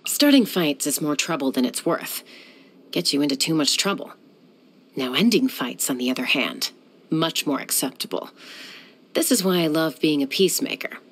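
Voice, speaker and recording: An actress voicing a young warrior woman who is a peacemaker, speaking in a calm, measured way with a dry, teasing edge. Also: low voice, slightly rough, full character voice. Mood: wry. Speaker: game character. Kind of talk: game voice lines.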